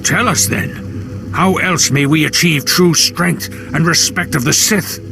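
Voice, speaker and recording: A fanatical leader of escaped slaves in a video game. He declaims slowly and reverently in a creaky, gravelly voice, worshipful yet violent. Music plays behind him. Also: a little high, rough recording. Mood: hurt, pleading. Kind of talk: dramatic video game acting.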